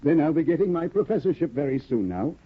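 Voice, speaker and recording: A man's voice: a little high, very animated, fast talker, husky. A man playing a fussy, anxious young scholar husband in a 1950s radio drama, stammering and eager. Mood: flustered. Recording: tinny old radio broadcast.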